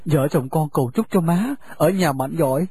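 A man's voice: fairly high pitch, moderate pace, warm tone, slightly rough. An actor playing a young husband who earnestly pleads with his mother to spare his wife. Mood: pleading. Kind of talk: radio drama acting.

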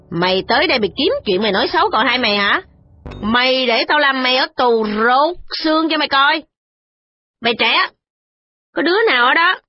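A woman voicing a domineering, quick-tempered older woman who scolds and threatens. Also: fairly high pitch, no echo, voice pushed up. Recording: dull lo-fi audio with music.

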